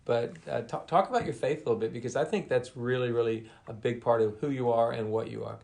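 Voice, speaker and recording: A middle-aged man hosts an interview and asks long, winding questions. His voice is gravelly with plenty of fry, sitting at a middle pitch. He speaks in an earnest, rambling way. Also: fast, darkish tone. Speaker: golf coach, podcast host.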